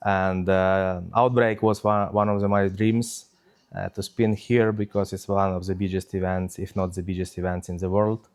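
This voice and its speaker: A man's voice: deep voice, flat delivery, moderate pace, clean tone. A man from Ukraine speaking English, answering interview questions with many hesitations and fillers. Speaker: DJ guest.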